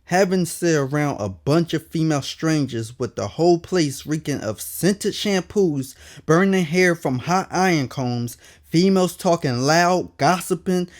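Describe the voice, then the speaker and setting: Man reading his memoir, slightly high voice. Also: animated, measured pace. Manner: candid, colloquial storytelling with emphatic asides. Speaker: author reading own autobiography. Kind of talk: conversational audiobook narration.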